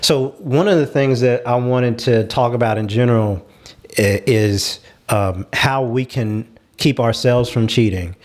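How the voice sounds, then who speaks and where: A man's voice: mid-range voice, a little slow, darkish tone. A man leading a casual panel discussion, making his points emphatically and often repeating himself to stress them.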